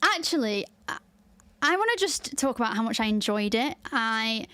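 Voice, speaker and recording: A woman's voice: on the high side, very animated, moderate pace, sharp tone, slight background noise. A woman with a Lancashire accent, hosting a podcast in open, chatty talk and laughing easily. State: tired after a 24-hour stream. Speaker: podcast host. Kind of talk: casual chat.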